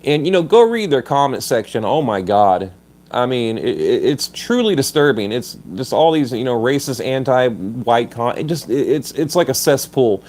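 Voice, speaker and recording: A man hosting a livestream from his phone, stuffed up and slightly hoarse. He talks bluntly and combatively, reading viewer comments and stumbling over restarts as he goes. His pitch sits in an ordinary middle range. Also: very animated, moderate pace, a little mellow. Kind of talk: casual livestream rant.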